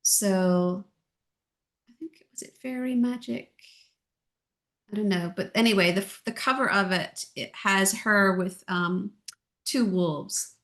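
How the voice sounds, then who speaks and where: A middle-aged woman from Ireland with a softened Irish accent, chatting as an interview guest in a gentle, reflective way. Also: mid-range voice, slow pace, pauses often. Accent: Irish, softened by years in Texas. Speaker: guest, author and audiobook narrator. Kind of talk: casual interview chat.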